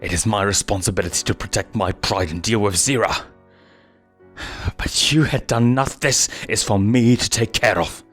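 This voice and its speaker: A man's voice: on the low side, moderate pace, soft background music, natural voice. A man voicing a stern, protective male lion king who admonishes like a disappointed father in a storybook reading.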